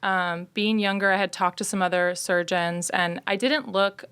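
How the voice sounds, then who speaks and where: A woman's voice: mid-range voice, fairly even intonation, normal speed, fairly bright tone, clear, resonant tone. A woman in her thirties telling her story as a guest in a casual interview, in an earnest, reflective way. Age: 30s. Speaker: podcast guest, patient.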